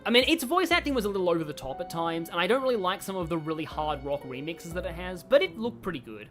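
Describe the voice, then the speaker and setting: A woman's voice: on the low side, big pitch swings, fast talker, fairly bright tone. A woman giving casual, rambling live commentary on a game, chatty and wry. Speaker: game streamer. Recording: game music behind.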